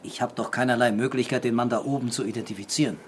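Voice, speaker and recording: An actor playing a calm, stubborn, laconic police detective in a radio crime drama, speaking tersely and with understatement. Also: male voice, medium pitch, quick pace, a little hiss or hum, natural voice. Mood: terse, probing.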